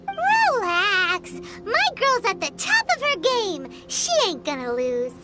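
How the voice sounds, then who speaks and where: A woman voicing a tiny, childlike fairy companion in an anime-style video game, with a squeaky, excitable, chatty delivery. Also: slow pace, over loud music, heavily acted. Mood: boastful. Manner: talks about self in third person, excitable.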